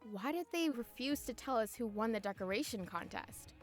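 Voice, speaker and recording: Woman as a high-voiced, mild schoolkid. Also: animated, moderate pace, soft background music, lightly acted. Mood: puzzled. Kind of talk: conversational visual novel character acting.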